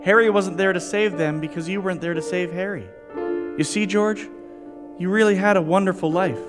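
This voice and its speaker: Radio play supporting role: male actor as wistful elderly guardian angel, earnest, fussy, high-pitched, over music. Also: fairly even intonation, a little fast, close to an everyday voice. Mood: solemn, grave.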